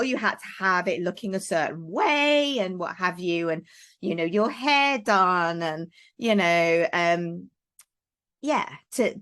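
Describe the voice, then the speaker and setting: Chatty woman from Wales, lowish voice. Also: a bit bright. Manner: chatty, many fillers and restarts. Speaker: guest, parenting coach. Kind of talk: casual interview chat.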